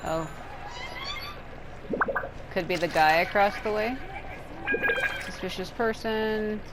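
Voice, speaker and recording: A woman's voice: medium pitch, slow pace, heavy background noise. A woman giving casual commentary as she plays a video game, with a blunt, sarcastic way of talking. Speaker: gamer doing a let's play. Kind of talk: casual game commentary, reading choices aloud. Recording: game music behind. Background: video game music.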